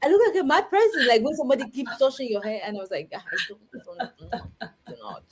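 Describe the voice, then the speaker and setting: A woman's voice: fairly high pitch, fast. Giggly woman from Cameroon. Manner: giggly, animated storytelling. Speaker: podcast guest. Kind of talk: casual chat.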